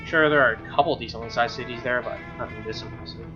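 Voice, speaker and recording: Male let's-player narrating a visual novel, average pitch, relaxed wording and jokey asides, lo-fi mic over guitar music. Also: fast talker. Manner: informal, joking asides. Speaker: streamer playing and reading a game. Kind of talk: casual playthrough, reading narration aloud with ad-libs. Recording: slightly dull mic, music behind. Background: acoustic guitar game music.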